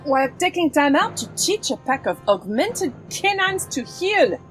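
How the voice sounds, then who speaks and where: Casting: woman for a plucky swordswoman, exclamatory and sardonic, lively intonation, drawn-out pacing, radio adventure. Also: high-pitched, soft background music, heavily acted, low-quality recording. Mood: strained, sardonic. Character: plucky, brash female swordfighting heroine. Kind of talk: audio drama acting.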